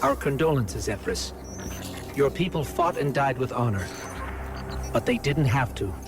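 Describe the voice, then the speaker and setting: A man's voice: mid-range voice, animated, measured pace, over music, close to an everyday voice. A man playing a formal, sober military envoy in a video game cutscene. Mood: sober, insistent.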